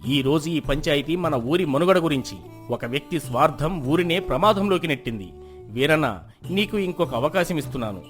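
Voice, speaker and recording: A man playing a stern, just village landlord who judges in a measured, commanding, formal way in a folk tale. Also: a little high, animated, natural voice. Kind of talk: dramatized Telugu folk moral tale. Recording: music behind the voice.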